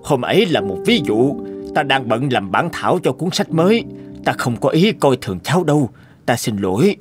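A man playing a gruff, brusque old scientist in a Vietnamese-style moral cartoon dub. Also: mid-range voice, sing-song, moderate pace, faint music behind, natural voice. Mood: apologetic. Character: gruff old male scientist, blunt but ready to apologise. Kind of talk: animated moral tale dubbing.

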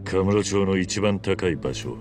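Stoic gangster hero, extremely deep voice, terse, restrained, video game drama, background music. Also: male voice, a little slow. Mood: grave, resolute. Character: stoic, steely tough ex-yakuza man in his thirties.

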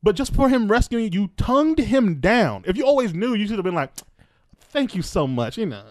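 Podcast host: animated, joking man with swooping pitch and a dry, close sound. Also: a little fast, dry recording. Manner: animated, crude joking, repeats phrases for emphasis. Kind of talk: casual group banter. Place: small room at home, around a table. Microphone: close podcast microphone.

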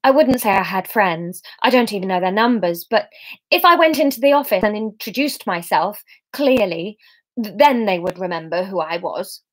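A lonely, awkward young woman speaks haltingly and apologetically, as a woman reads her lines from a story. Her voice stays mid-pitched. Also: animated, lightly acted, low-quality recording. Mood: unsure.

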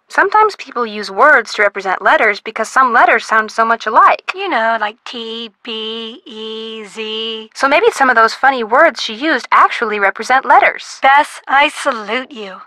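A perky, bright teen girl sidekick. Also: on the high side, no room sound. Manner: perky, chatty, encouraging. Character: cheerful teen girl sidekick, upbeat helper. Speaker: friend of the detective giving hints by phone. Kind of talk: video game voice acting.

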